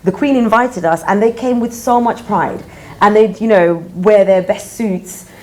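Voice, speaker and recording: A woman speaking informally on a panel at a live event, explaining things and often clearing her throat. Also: mid-range voice, measured pace, rough recording. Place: indoor public exhibition discussion. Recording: background noise of live event.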